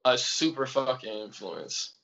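A man's voice: mid-range voice, lively intonation, measured pace, sharp tone. A man from Atlanta with a Southern accent, a rapper talking as a podcast guest in a laid-back way. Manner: laid-back, repeats yes often. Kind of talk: casual interview chat.

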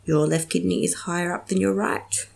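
A woman's voice: fairly low pitch, fairly even intonation, moderate pace, vocal fry, darkish tone. A young woman hosting a casual solo podcast, chatty and giggly with many ums, sounding slightly nasal from a cold. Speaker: podcast host.

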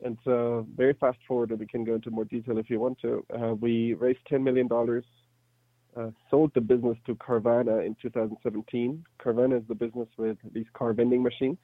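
A man's voice: mid-range voice, flat delivery, fast talker, dry recording. German-accented man, thin phone-like sound. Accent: slight German. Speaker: interview guest, startup entrepreneur. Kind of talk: casual conversational storytelling.

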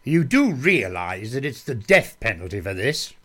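A man's voice: mid-range voice, big pitch swings, normal speed, a bit bright. A man playing a ruthless, menacing army captain in a radio play, sneering and threatening. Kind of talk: radio drama acting.

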